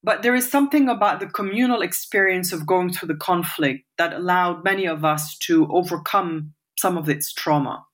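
Here is a woman in her forties from Lebanon, answering interview questions in a thoughtful, measured way. Her voice sits a little low for a woman. She often pauses on fillers and restarts her sentences. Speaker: interview guest.

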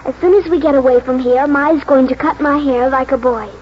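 A woman's voice: sits high, brisk, slight background noise, lightly acted. A woman playing an eager, earnest young horse-loving girl in a 1940s radio drama, speaking breathlessly. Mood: fervent, determined. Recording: muffled old 1940s radio broadcast, music.

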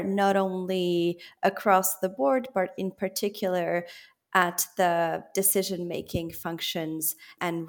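A woman guest in a podcast interview, speaking in a measured, earnest way about her work. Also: fairly low pitch. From born in Switzerland, raised in Belgium. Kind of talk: interview answers.

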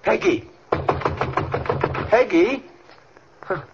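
An actor playing an urbane, exasperated gentleman sleuth in an old radio comedy mystery, dry, suave and easily flustered. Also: high voice, animated, very slow, vocal fry, over loud music, heavily acted. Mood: concerned, wry. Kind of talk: 1940s-50s radio comedy-mystery acting. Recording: muffled, noisy old radio recording.

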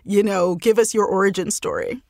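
A woman's voice: mid-range voice, fairly even intonation, moderate pace, vocal fry, a little mellow, no room sound. Woman hosting a podcast, dry close voice. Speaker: podcast host.

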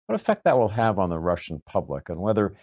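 A man with a deep, low voice interviews a guest in a formal register. His phrasing is measured and hesitant, and the audio is dull and lo-fi. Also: sing-song, a little mellow. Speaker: interviewer, moderator.

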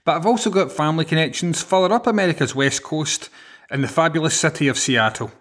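A man's voice: on the high side, fast, a bit bright. A man with a Glaswegian Scottish accent, hosting a podcast with scripted introductions. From Glasgow, Scotland. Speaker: podcast host.

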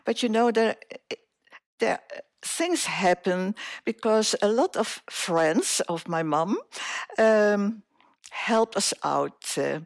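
Slow, hesitant woman in her eighties. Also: mid-range voice, dry recording, studio quality. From Indonesia. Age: 80s. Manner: slow, hesitant, many filler sounds. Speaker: guest. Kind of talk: life story interview.

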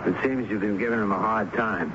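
An actor playing an impatient, authoritative police inspector in a radio drama, brisk and admonishing. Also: male voice, on the low side, close to an everyday voice. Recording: noisy, muffled old radio broadcast recording.

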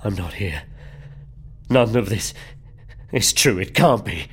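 A man's voice: fairly low pitch, lively intonation, slightly rough, full character voice. An actor playing a panicked, stammering man trapped in a nightmare, in an audio drama. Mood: stunned, nervous. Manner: halting, stammering.

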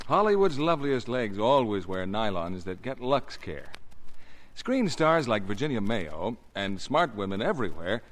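A man's voice: on the low side, big pitch swings, normal speed. An American man announcing in a scripted 1950s radio advertising style. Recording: vintage 1950 radio recording.

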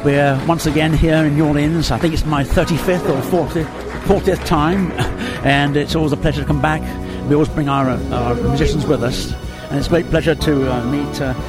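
A middle-aged or older man with an English accent gives a cordial radio testimonial. He is hesitant and unscripted, slightly high in pitch, and loud music plays along with him. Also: fairly even intonation, brisk, few pauses. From England. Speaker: guest giving a station greeting.

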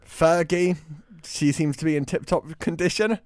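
A man with a fairly high voice answers questions as a radio sidekick. He is deadpan and understated, in casual banter. The sound is very dry. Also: measured pace, no echo.

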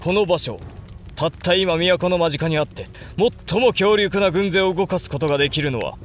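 An actor playing a brooding, resolute samurai lord and elder brother in a Japanese period audio drama, speaking gravely and with deliberate command. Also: male voice, high voice, natural voice. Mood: intense, commanding.

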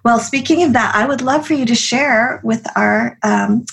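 A woman hosting a podcast who asks her guest questions with gentle, encouraging prompts and small hesitations. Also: on the high side, moderate pace, gravelly, breathy edge. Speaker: podcast host. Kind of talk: interview questions.

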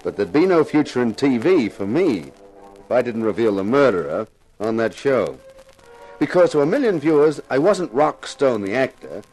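A man's voice: mid-range voice, sing-song, moderate pace, a little mellow, soft background music, rough recording. A man acting a cocky, glib TV detective, the wry lead and narrator of a 1950s radio drama. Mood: wry narrating. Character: wry, cocky TV actor playing amateur detective.

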